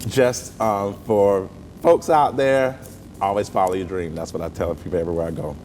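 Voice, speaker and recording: A man's voice: normal speed, darkish tone. A middle-aged man with a Southern US accent, chatting earnestly and with some joking as a guest in a casual interview. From southern United States, rural. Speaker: interview guest, stage actor and comedian. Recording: long reverberant hall, faint rumbling noise.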